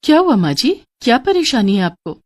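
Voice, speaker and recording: A woman's voice: fairly high pitch, sing-song, brisk, dry recording, voice pushed up. A woman voicing a kind, earnest young heroine of a fairy tale in an eager, girlish manner. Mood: concerned.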